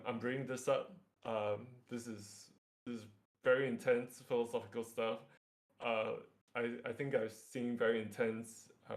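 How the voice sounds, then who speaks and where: A man's voice: medium pitch, creaky, a little mellow. A man from Singapore with a Singaporean accent, leading a workshop as an informal lecture through slides, with hesitant phrasing and frequent check-in tags. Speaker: workshop facilitator.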